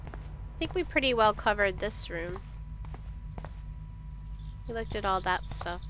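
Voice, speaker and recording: A woman's voice: mid-range voice, expressive, takes it slowly, background noise. Sniffly chatty woman streamer. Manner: chatty, reads things aloud, asks chat questions. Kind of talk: casual live-stream commentary.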